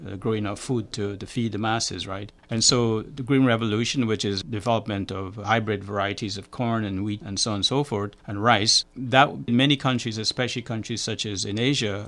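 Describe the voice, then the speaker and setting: A man's voice: fairly low pitch, a bit bright. An older man, speaking as an academic in an interview and explaining things, often checking in with the listener. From Guyana. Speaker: academic researcher, climatologist. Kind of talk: interview answers, explanatory talk.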